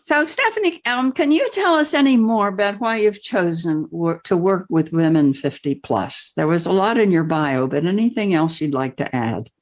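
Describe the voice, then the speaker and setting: A woman hosting an interview podcast in a slightly low voice. She speaks thoughtfully and often restarts her sentences. The style is relaxed conversation. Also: normal speed, few pauses. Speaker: podcast host.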